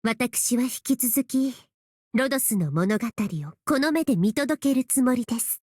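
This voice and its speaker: Refined, high-voiced game noblewoman. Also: animated, brisk, a little mellow, dry recording, studio quality. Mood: lofty. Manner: elegant, formal, grand. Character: refined, lofty noblewoman enamored of epic tales. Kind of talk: Japanese game character voice line.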